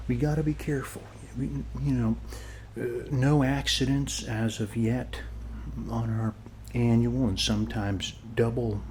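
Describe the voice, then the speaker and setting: Man acting as friendly male neighbor, ASMR roleplay, slow halting delivery, soft and half-whispered, rambling, reassuring, faint ambient noise. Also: medium pitch, lightly acted. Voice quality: soft, often dropping to a whisper. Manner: halting, rambling, reassuring, often ending phrases with "okay". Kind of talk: ASMR roleplay, soft-spoken one-sided conversation.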